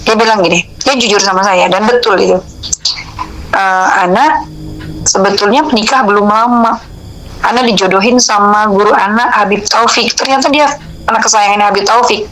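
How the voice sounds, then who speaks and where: A woman's voice: medium pitch, moderate pace, slight background noise, rough recording. A middle-aged woman, telling a personal story in an interview and acting out the dialogue informally. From Indonesia. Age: 45 or older. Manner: animated retelling, quoting dialogue in informal speech. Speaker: interviewee, religious counsellor.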